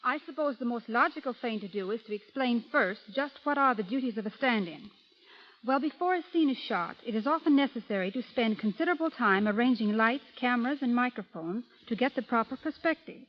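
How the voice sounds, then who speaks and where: A high female voice speaks in carefully composed, formal sentences as a guest on a scripted radio program. The sound is the dull, limited audio of a 1930s broadcast. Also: expressive, a little fast. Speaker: film stand-in and guest. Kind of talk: scripted radio interview with product testimonial.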